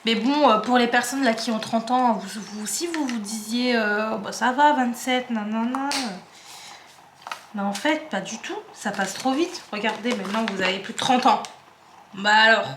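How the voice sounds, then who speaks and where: A woman's voice: on the high side, moderate pace, reverberant, noisy background. A woman in her twenties from the Paris suburbs, chatting casually and rambling while she sorts her craft supplies at home. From Paris suburbs, France. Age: 20s. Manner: chatty, rambling, self-interrupting. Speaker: craft vlogger.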